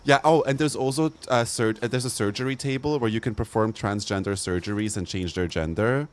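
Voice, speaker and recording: A man's voice: on the low side, fairly quick. Campy, playful young man streaming. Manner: campy, playful, laughs often, slangy. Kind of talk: casual live-stream chat over gameplay.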